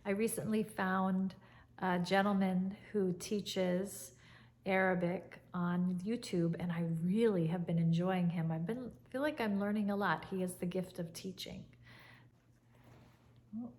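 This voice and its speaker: A woman in her fifties, an English teacher, talking gently and fondly to camera in a fireside chat at home. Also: medium pitch, fairly even intonation. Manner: warm, soft-spoken and unhurried, with fond reflective pauses. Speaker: English teacher, channel host. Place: living room by a fireplace, indoors. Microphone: camera at chest height, seated.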